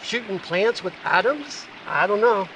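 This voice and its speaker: A man acts out an ageing, simple, curious sidekick from an old radio adventure. He grumbles in short folksy phrases, high for a man and very lively in pitch. The live stage recording has some background noise. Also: a little slow, heavily acted, poor audio. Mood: curious, puzzled.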